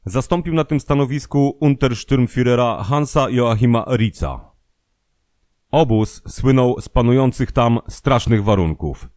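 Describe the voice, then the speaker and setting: A male narrator with a medium-pitched voice reads from a documentary script. He keeps a formal, measured delivery, recorded dry with no audible room. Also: animated, a little mellow, no echo.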